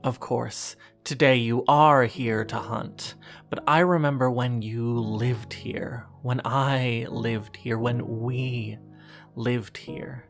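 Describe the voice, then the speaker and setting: A performer playing a wistful, brooding narrator who addresses a former lover in slow, poetic, deliberate horror-drama narration. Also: mid-range voice, fairly even intonation, soft background music. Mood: nostalgic, reproachful. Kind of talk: scripted second-person horror audio drama narration.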